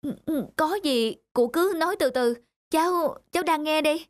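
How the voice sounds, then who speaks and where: A woman's voice: high voice, close to an everyday voice. A woman voicing a flustered young woman. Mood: anxious. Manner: quick, flustered, anxious. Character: young working woman, hesitant but kind. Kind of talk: animated story voice acting. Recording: loud background music.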